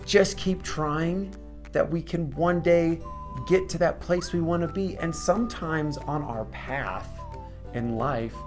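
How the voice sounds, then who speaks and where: A man hosting a children's storytime, talking casually and reflectively to young viewers in an encouraging, questioning way. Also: on the high side. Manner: encouraging, asks the listener questions.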